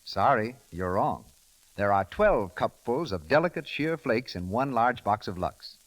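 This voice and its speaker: Animated 1930s radio announcer, male. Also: lowish voice, a little slow. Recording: crackly old radio broadcast.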